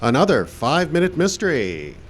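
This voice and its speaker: A man's voice: a little high, wildly swooping pitch, a little fast, music in the background. A man announcing a live radio show in scripted old-time radio style.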